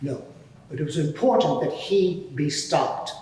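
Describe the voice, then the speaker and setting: A man playing a haughty, evasive high priest on the witness stand in a live courtroom drama, speaking formally and emphatically in his own defence. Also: expressive, a little slow, dark tone, a little hiss or hum. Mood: defensive, emphatic. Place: large reverberant courtroom, live event. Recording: very echoey hall, distant microphone.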